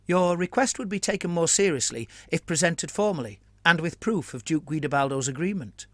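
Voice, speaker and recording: Man doing a scheming priest, measured, rambling, placating, dry sound. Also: fairly high pitch, expressive, dry recording, pitched above the performer's own voice, natural voice. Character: evasive scheming male priest, calm and wordy.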